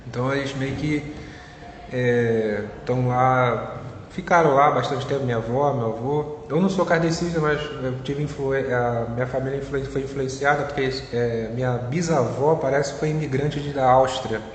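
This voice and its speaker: A man's voice: mid-range voice, fairly even intonation, moderate pace. A young man with a Carioca accent, a physics student being interviewed, talking casually with many fillers and restarts. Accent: Carioca Brazilian Portuguese. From Rio de Janeiro, Brazil. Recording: echoey room with long reverb, faint noise.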